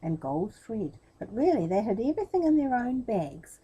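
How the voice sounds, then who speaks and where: A woman's voice: a little high, big pitch swings, normal speed, mellow, slightly rough, dull audio. A woman reading a children's storybook expressively, talking directly to young listeners as the storyteller.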